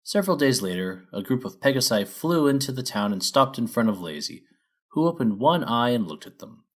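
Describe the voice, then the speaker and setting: A man reading a children's story as narrator in an expressive, scripted storytelling way. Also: mid-range voice, fairly quick.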